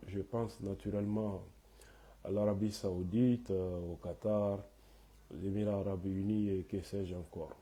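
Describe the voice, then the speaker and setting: A man, hoarse from a cold, hosting a live talk in French and Bambara with many hesitant fillers. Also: fairly low pitch, dark tone. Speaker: host of a live online talk.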